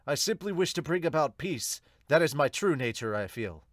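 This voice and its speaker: A man's voice: a little high, animated, no room sound, studio quality, natural voice. Man as a solemn ninja hero. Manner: formal, measured. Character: solemn, modest male ninja superhero. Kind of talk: amateur manga dub.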